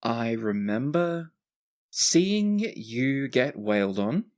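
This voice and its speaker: A player acting out an easygoing male fantasy fighter in a tabletop game. He is plain-spoken and protective, with a gentle teasing streak, in a mid-range voice. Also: medium pitch, sing-song, slow pace. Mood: earnest.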